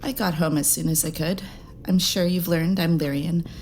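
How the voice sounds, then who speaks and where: A woman's voice: on the low side, steady tone, normal speed, darkish tone, slight background noise, close to an everyday voice. A woman voicing an alien pub tender on a starship who explains herself earnestly.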